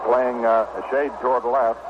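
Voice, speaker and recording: A man calling baseball play-by-play on 1960s radio in terse, clipped phrases with pauses between pitches. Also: level tone. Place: ballpark broadcast booth. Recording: noisy muffled vintage AM radio broadcast.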